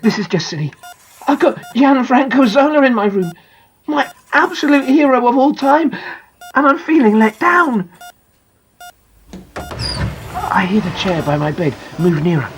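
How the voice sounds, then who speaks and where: A woman voicing an excitable young boy who lies in a coma, confiding his thoughts emphatically in a dramatic audiobook narration. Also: on the high side, unhurried, pauses often, breathy edge, pitched above the performer's own voice, heavily acted. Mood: let down.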